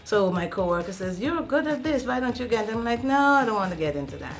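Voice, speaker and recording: A woman's voice: fairly high pitch, very animated, fast talker, faint music behind. A woman, an enthusiastic wedding planner, telling her story in a casual interview. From Guyana.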